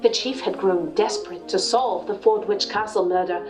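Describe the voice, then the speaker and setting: A woman's voice: medium pitch, normal speed, natural voice. Woman as sidekick narrating an old detective radio play, dramatic, mid voice, music playing behind. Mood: expectant. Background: brass music.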